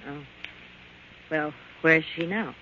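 A woman's voice: deep voice, takes it slowly, bright, forceful tone, a little hiss or hum, heavily acted. A woman playing a hard, scheming, suspicious murder plotter in a 1940s American radio thriller, speaking in a tense, clipped, menacing way. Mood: wary, probing. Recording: muffled old-time radio recording.

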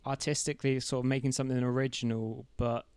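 An easygoing, reserved man leads a relaxed chat with a fellow creator. His voice is smooth and clear. It sits close and dry, with no room sound. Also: mid-range voice, fast talker, no echo. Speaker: interviewer, fellow YouTuber. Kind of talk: casual interview chat.

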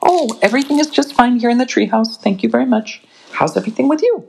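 A reader voicing a gentle, wise mother bear. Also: medium pitch, lively intonation, fast, vocal fry, a little hiss or hum, pitched above the performer's own voice. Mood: bright, reassuring. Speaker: storybook character voiced by the reader. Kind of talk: storybook reading aloud.

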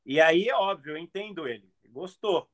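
A man with a notably high voice speaks as a guest in a casual interview. He tells anecdotes in an animated, conversational way, as a comedian would among peers. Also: normal speed. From outside Brazil.

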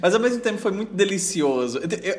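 A man from Brazil co-hosts a film podcast, rambling through stories and laughing often. His voice is slightly high for a man. He talks in loose, casual chat. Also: big pitch swings, quick pace, a crowd in the background. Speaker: podcast co-host.

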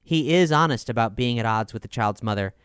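Male podcast narrator for scripted music reviews: crisp, resonant tone, dry studio sound, polished measured read. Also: medium pitch, expressive, a bit bright, no echo. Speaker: album review reader and podcast host.

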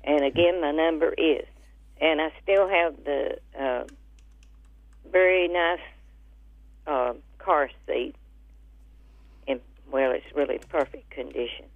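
A woman calling a radio show, casually listing things in a halting way over the phone. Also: low voice, no echo. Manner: halting, pausing between items. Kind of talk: casual listing of items and numbers. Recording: narrow phone line on radio.

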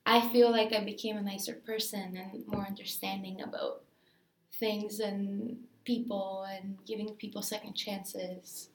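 A woman's voice: mid-range voice, flat delivery, a little slow, reverberant. A woman from Greece, a dancer being interviewed, talking casually and thinking aloud with many fillers.

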